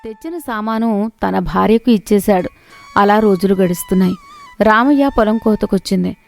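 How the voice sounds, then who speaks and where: Woman narrating a story, slightly creaky voice. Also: mid-range voice, normal speed, darkish tone, faint music behind. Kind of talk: scripted moral-story narration.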